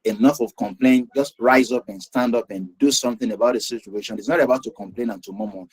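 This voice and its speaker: A man's voice: medium pitch, fast, breathy edge. Guy running an online session, keeps saying thank you and absolutely, video call sound. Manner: warm affirming, repeats thanks. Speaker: host, moderator.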